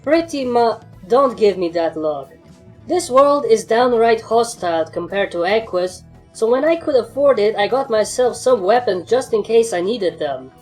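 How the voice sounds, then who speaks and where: A woman's voice: a little high, expressive, moderate pace, a little mellow, faint music behind, close to an everyday voice. A woman voicing a world-weary, defensive pony mare in a fan fiction story, speaking in a guarded, matter-of-fact way. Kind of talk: fan fiction character dialogue.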